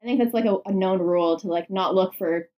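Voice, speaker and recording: A woman's voice: medium pitch, fast, dark tone. A woman hosting a podcast from a bedroom, upbeat and giggly, laughing often. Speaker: podcast host. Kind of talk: casual podcast chat.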